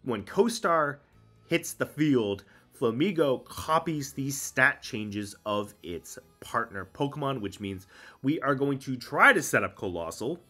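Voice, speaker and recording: A man's voice: medium pitch, expressive, unhurried, pushed and bright, breathy edge, soft background music. A man with a cold and a congested voice, casually explaining a competitive game team and thinking aloud with many ums. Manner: chatty, explains strategy, frequent ums. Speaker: Pokemon VGC content creator.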